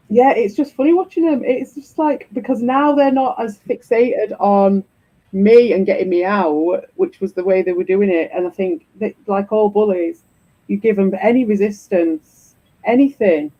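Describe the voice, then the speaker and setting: A man with a croaky, husky voice, hosting a stream in loose, rambling chat and swearing freely. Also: high voice, unhurried, dark tone, lo-fi sound. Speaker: streamer host. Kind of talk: casual chat.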